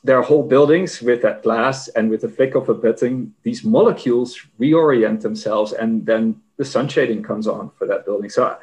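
A man's voice: medium pitch, moderate pace, hardly any pauses, a little mellow. A man with a Dutch accent, a company managing director talking casually as an interview guest, explaining with many fillers. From Netherlands.

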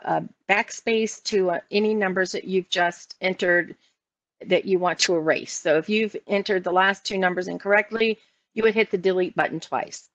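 American woman, instructional trainer, gravelly fry in the voice, muffled online-meeting sound. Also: mid-range voice, fairly bright tone, no echo. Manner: instructional, explanatory. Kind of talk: step-by-step software and phone training walkthrough. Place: online meeting screen-share, voice only. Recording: dull lo-fi online meeting audio.

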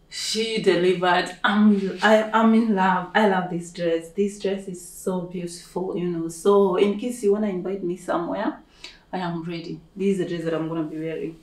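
A woman's voice: fairly low pitch, darkish tone. A young woman with a Kenyan accent, chatting playfully and gushing to camera in her living room, recorded on the camera's own mic from a few feet away. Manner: playful, gushing, self-hyping. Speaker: vlogger. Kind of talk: casual chat to camera. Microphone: camera mic at a distance. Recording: echoey room.

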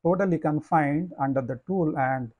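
A male professor talks through his slides in a slightly high voice, measured and deliberate, with many "uh" fillers. The sound is close and dry, with no room around it. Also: darkish tone, no echo. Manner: measured explaining with frequent "uh" and "you know". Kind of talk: slide lecture.

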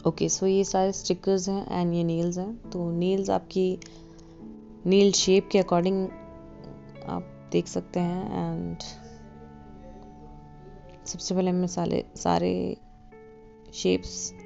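A woman giving a relaxed, casual voice-over for a nail tutorial, slipping English phrases into her talk. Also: on the low side, takes it slowly, gravelly, long pauses. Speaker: beauty vlogger. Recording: dull lo-fi voice-over with faint music.

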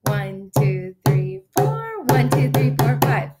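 Slow, upbeat teacher woman on webcam. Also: on the high side, very animated, loud music behind the voice. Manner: upbeat, counting beats aloud. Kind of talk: online class read-aloud. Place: small room indoors. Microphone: webcam on video call.

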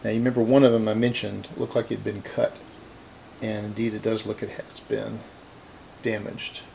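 Low, gravelly man thinking aloud. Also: little pitch movement, dark tone, slight background noise. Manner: halting, thinking aloud while working. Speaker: repair hobbyist host. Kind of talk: casual unscripted workbench explanation. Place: indoor cluttered electronics workbench.

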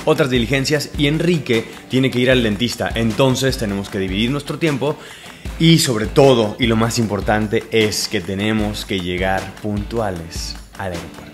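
A man in his thirties with a Mexican accent, talking on a reality show in an expressive, exasperated way. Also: fairly low pitch, normal speed. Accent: Mexican Spanish. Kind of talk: confessional reality-show talk. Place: indoor apartment at night. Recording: background music under voice.

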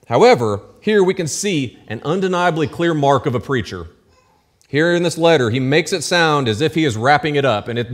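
Pastor preaching conversationally from a pulpit. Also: male voice, medium pitch. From United States. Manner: conversational preaching with asides and checks for understanding. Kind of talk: sermon. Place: church stage with pulpit, indoors. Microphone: lectern mic at pulpit.

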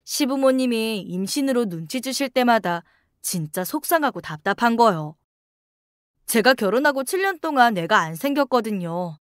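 A woman's voice: a little high, dry recording, clean studio sound. A woman narrating a scripted first-person story as a radio-style reader.

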